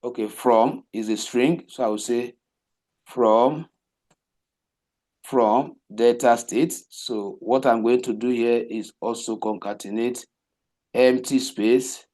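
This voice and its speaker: A man teaching a coding class, explaining each step slowly and repeating himself, often asking rhetorical questions. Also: medium pitch, steady tone, darkish tone. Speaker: web development teacher. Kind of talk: live coding class walkthrough.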